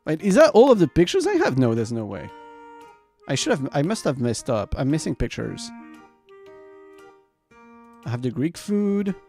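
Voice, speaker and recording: A man rambling casually and informally to his livestream viewers, animated and loose in his wording. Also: on the high side. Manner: animated, laughs often. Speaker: variety streamer and host.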